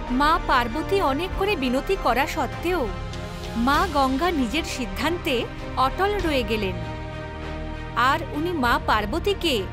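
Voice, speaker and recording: A woman narrating a scripted mythological cartoon story in a dramatic, expressive way. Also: high-pitched. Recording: loud background music under voice.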